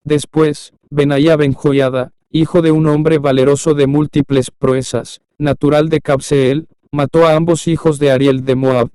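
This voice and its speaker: A man reading scripture aloud as scripted audiobook narration, in a solemn, measured manner. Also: on the high side, level tone, warm tone, smooth, no room sound. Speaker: audiobook Bible reader.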